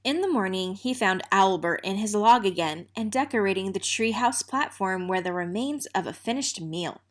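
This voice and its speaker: A woman's voice: medium pitch, expressive, moderate pace. Woman reading a children's picture book aloud. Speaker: read-aloud narrator.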